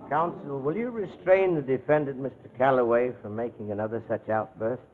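An actor playing a stern, formal judge commanding a courtroom in a 1940s radio drama. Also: male voice, mid-range voice, a little fast, natural voice. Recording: noisy, tinny old radio recording.

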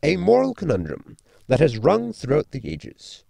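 An actor playing an erudite, pedantic crew member in a science fiction audio sitcom, speaking in a formal, lecturing way. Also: male voice, a little high, wildly swooping pitch, moderate pace, gravelly, warm tone, no echo, exaggerated voice. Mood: dry moralizing.

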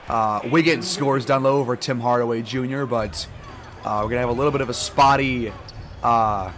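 A slightly hoarse man giving casual gameplay commentary, relaxed and full of fillers, louder and livelier when calling plays. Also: mid-range voice, noisy background. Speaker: gaming YouTuber. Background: game music.

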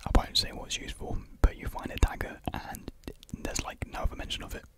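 A young man speaks in a breathy whisper close to the microphone, rambling softly and hesitantly in a casual ASMR chat. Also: fairly quick. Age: young adult. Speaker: ASMR video creator. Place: small room with painted block walls. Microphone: large desktop USB condenser microphone, close up.

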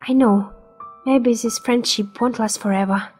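A woman's voice: on the high side, steady tone, measured pace, a little mellow, slightly rough, faint music behind. A woman voicing a polite, reserved and resolute teenage daughter in a comic dub, formal and sincere. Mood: apologetic, firm.

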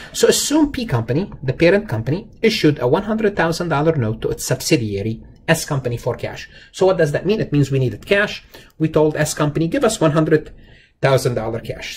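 A man with a slightly high voice teaches in a conversational lecture style. He repeats key phrases for emphasis and puts rhetorical questions to the learner. There is some faint noise in the recording. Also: darkish tone. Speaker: accounting lecturer. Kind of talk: explanatory lecture over slides, informal and conversational. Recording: noticeable background noise.

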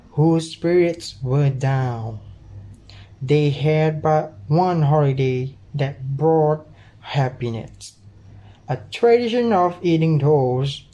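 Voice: medium pitch, mellow, highs cut off. A young adult student with a Thai accent, a native Thai speaker, reading scripted storybook narration for a cartoon dub.

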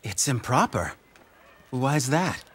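Game role: measured, thoughtful young commander, mid-pitched, unhurried, formal delivery. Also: male voice, sing-song, a bit bright, slightly rough, heavily acted. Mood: gentle, reflective. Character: composed, thoughtful young male military strategist and commander. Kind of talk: dramatic video game cutscene acting. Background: music.

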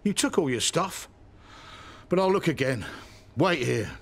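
A man's voice: on the high side, very animated, a little slow, exaggerated voice. An actor playing a grumpy, suspicious middle-aged British father, a former policeman, in a radio soap. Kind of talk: naturalistic radio soap acting.

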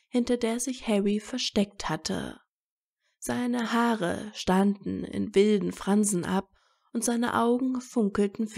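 A woman's voice: medium pitch, creaky, dark tone. A woman reading scripted story narration as the narrator of an audio fiction.

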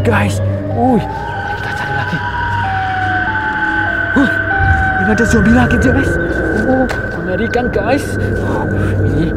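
A man with an Indonesian accent narrating a playful toy video for children, excited and theatrically amazed, with exclamations throughout. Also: sits high. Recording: music laid loudly over the voice. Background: loud music.

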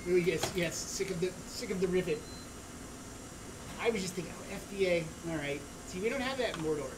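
A woman's voice: on the low side, fairly quick, close to an everyday voice. A woman playing a jovial female orc butcher of a savage tribe, bantering playfully and relishing the gore on a cooking stream. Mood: hammy, cheerful. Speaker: co-host. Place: studio kitchen set, indoors. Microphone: far camera. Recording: noisy studio room audio.

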